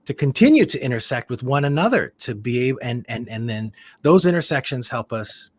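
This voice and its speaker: A man's voice: mid-range voice, very animated, a little fast, no echo. A man talking casually as a podcast guest on a video call, reflective and rambling, with many restarts. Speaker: podcast guest, community founder.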